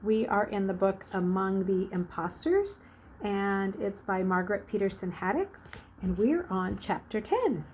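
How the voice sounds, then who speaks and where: A woman reading a children's novel aloud like a teacher, with expressive, dramatic emphasis. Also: medium pitch, a little slow, slight background noise. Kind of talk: expressive storybook narration.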